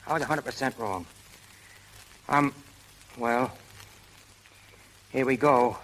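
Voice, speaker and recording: An actor playing a brash, hot-tempered but lovestruck prizefight manager, talking in rough street slang in 1930s radio style. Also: male voice, mid-range voice, slow, a bit bright, a little hiss or hum, poor audio. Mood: bashful, dejected. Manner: tough, slangy, ungrammatical street talk. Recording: old 1930s radio broadcast recording.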